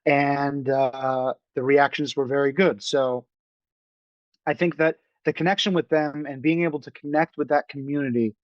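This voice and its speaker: A man's voice: medium pitch, steady tone, no room sound. A young man talks casually as an interview guest. He starts over often and searches for words between fillers. The sound is dry and a little dull, like a modest lo-fi setup. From New York. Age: young adult. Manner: halting, many restarts and fillers. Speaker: film director guest.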